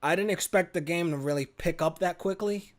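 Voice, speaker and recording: A man hosting a gaming video, reading a horror visual novel aloud in a theatrical, expressive way with casual commentary. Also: sits high, brisk. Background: game music.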